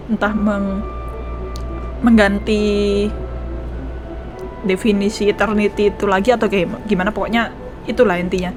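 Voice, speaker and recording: A woman with an Indonesian accent giving casual let's play commentary, repeating herself and switching between two languages. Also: mid-range voice. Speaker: game streamer.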